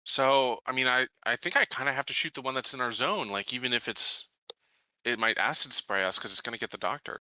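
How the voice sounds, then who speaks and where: A man's voice: mid-range voice, fairly quick, sharp tone, no echo. A man talking casually as a player in a tabletop roleplaying game.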